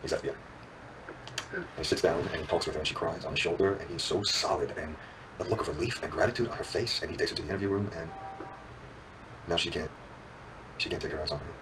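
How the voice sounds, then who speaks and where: A man playing an earnest, quietly intense police agent in naturalistic drama dialogue, speaking in a deliberate, hushed storytelling manner. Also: sits low, distant mic, noisy background, lightly acted. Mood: wistful, intent. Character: quietly intense lawman recalling his youth, earnest, probing. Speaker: law enforcement agent.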